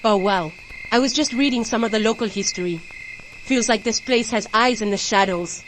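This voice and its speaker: A woman's voice: on the high side, normal speed, fairly bright tone, natural voice, low-quality recording. Woman acting an uneasy young mother, night insects. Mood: uneasy, curious. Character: uneasy, curious mother, newcomer to the bayou. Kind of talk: horror radio drama acting. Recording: clear background noise of night insects. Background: crickets and frogs at night.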